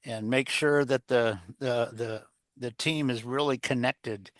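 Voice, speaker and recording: A man's voice: mid-range voice, steady tone, measured pace, dry recording, clean studio sound. A man giving conversational advice as an interview guest, rambling, circling back over phrases and posing rhetorical questions. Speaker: interview guest, leadership author and coach.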